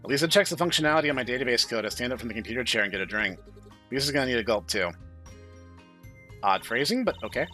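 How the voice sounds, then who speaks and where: Bright, animated man reading game dialogue. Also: medium pitch, quick pace, pauses often, faint music behind. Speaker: gaming channel host. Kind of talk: reading visual novel dialogue aloud, playthrough commentary.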